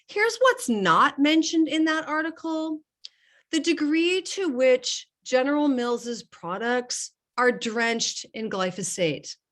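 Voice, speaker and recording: A woman's voice: mid-range voice, a bit bright. A middle-aged woman getting over a slight cold, hosting a casual online group call in an emphatic, urging way, repeating key phrases for weight. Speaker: host and network marketing business coach.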